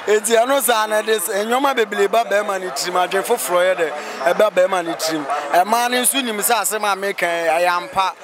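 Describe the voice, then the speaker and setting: A man's voice: sits high, brisk, hardly any pauses, music in the background. A man with a Ghanaian accent giving his opinions casually in a street interview. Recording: street recording with crowd and traffic.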